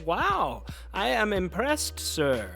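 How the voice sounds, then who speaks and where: An actor as an animated, eager young male gamer. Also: high-pitched, takes it slowly, creaky, soft background music, heavily acted. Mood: showy, earnest. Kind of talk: naturalistic audio drama dialogue.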